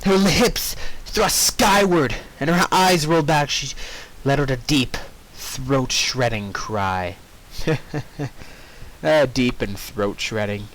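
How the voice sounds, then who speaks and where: A man's voice: mid-range voice, takes it slowly, fairly bright tone, poor audio. A man reading fan fiction aloud with informal, jokey asides, easily sidetracked from the narration. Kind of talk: story read-aloud with casual ad-libbed commentary.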